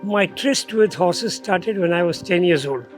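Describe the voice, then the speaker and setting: A man's voice: sits high, animated, unhurried, over music. An older man, a riding school founder recalling his past steadily in a documentary interview.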